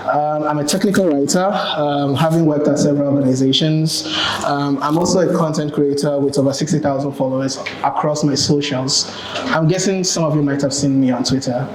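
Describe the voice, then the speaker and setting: A young man with a Ghanaian accent giving a relaxed, chatty tech conference talk, with many ums and restarts. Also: fairly high pitch, background noise. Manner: relaxed, chatty, many ums and self-corrections, laughs at own jokes. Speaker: conference speaker. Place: large conference hall with audience. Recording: roomy hall with crowd.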